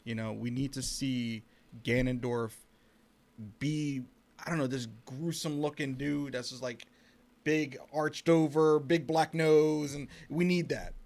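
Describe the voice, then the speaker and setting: Casual, opinionated male podcast panelist, medium pitch. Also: steady tone, a little slow, a little mellow.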